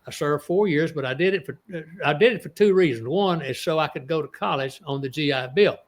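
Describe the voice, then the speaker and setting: Older east texas guy in his 80s, kinda high voice, rambles and restarts a lot. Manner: rambling, halting with many restarts. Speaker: author guest. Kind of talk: casual interview storytelling.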